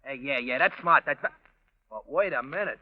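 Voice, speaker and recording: An actor playing a slow-witted, eager cab driver who repeats himself and stammers, in 1940s radio drama style. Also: male voice, medium pitch, no echo, lightly acted. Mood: befuddled. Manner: repetitive, befuddled, stammering. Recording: muffled, noisy vintage radio recording.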